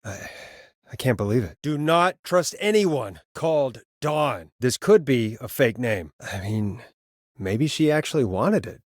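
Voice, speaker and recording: A man's voice: medium pitch, lively intonation, a little slow, no echo, clean studio sound, lightly acted. A man voicing a video game character, a harried but kind late-night radio DJ who is wry and reassuring and sometimes stammers. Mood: uneasy, wry. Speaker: radio host. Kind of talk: video game voice acting, conversational radio patter.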